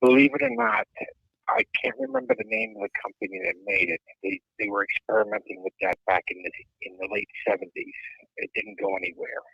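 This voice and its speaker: A male caller phoning into a podcast, halting and searching for words as he explains. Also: high voice, lively intonation, creaky, bright, forceful tone, breathy edge, no echo. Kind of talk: phone call-in chat. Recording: muffled phone line.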